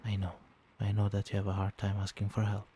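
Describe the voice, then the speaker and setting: A man playing a doting, gentle vampire boyfriend in a soft-spoken ASMR roleplay, tender, reassuring and coaxing. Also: lowish voice, monotone, fast, background noise, close to an everyday voice. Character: doting, gentle male vampire boyfriend soothing partner to sleep.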